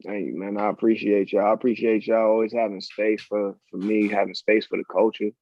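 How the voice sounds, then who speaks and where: A man's voice: on the low side, fairly quick, highs cut off. A man who is a guest on a podcast, speaking casually and laughing as he reminisces. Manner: laughs often, relaxed and reminiscing. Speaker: guest artist. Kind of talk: casual conversation.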